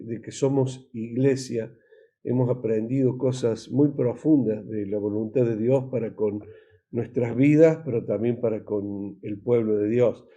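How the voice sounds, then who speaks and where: A man with an Argentine Spanish accent preaching an informal sermon as a pastor, halting and repeating himself, often posing rhetorical questions. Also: mid-range voice, dark tone.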